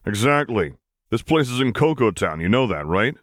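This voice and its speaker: A man's voice: medium pitch, very animated, moderate pace, no echo, pitched above the performer's own voice. A brash, streetwise starship pilot as a male narrator plays him in a sci-fi storybook. He drawls in casual slang with a wry, offhand edge, and the sound is close and dry. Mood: brash, dismissive.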